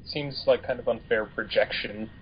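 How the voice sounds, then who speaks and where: A man from the United States co-hosting a casual fan podcast, talking in a measured, politely hedging way. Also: mid-range voice, husky. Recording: dull, lo-fi voice call audio.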